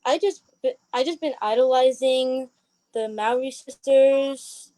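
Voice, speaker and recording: Young woman, high-pitched, soft dark tone, dry sound, casual, tentative interview guest. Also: a little slow, no echo, low-quality recording. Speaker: stage actress, interview guest. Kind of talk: casual chat.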